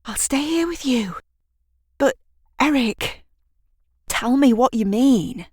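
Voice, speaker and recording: A woman voicing a caring, anxious girl in an audio drama, coaxing in an urgent, hushed manner. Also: sits high, expressive, takes it slowly, husky, dry recording, clean studio sound, pitched above the performer's own voice, exaggerated voice. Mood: anxious, pleading. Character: caring, anxious girl soothing a distressed friend.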